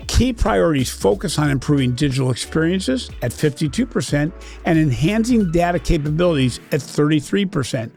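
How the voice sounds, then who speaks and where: A man hosting a banking podcast, reading a scripted introduction with emphatic, animated delivery and then asking a guest questions. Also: a little high, gravelly. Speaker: podcast host.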